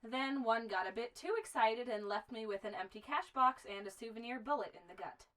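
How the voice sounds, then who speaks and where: Actress as chatty high-voiced frontier shopkeeper woman. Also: a little fast, a bit bright, natural voice, rough recording. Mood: matter-of-fact. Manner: folksy, talkative. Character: chatty, friendly, tough young woman keeping a remote frontier store. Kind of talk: amateur western film acting.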